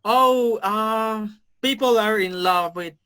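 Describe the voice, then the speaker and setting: A man in his twenties from Mexico, a native Spanish speaker with a Mexican Spanish accent, answering interview questions haltingly with many fillers. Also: high-pitched, pushed and bright, smooth. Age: 20s. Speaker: interview guest. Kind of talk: casual video interview.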